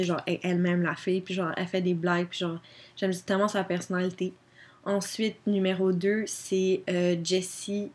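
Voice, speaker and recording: A young woman with a Québécois French accent chatting casually to her webcam, answering questions with many fillers. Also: mid-range voice. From Quebec. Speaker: vlogger.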